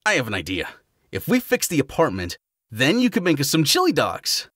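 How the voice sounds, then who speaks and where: A man's voice: on the high side, wildly swooping pitch, a little fast, a bit bright, dry recording, clean studio sound, heavily acted. A man voicing an eager, friendly young male hedgehog in a fan audio drama, easygoing and upbeat.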